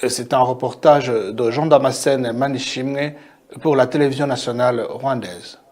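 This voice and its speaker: A man's voice: medium pitch, normal speed, a little mellow. A man presenting scripted news in a formal register.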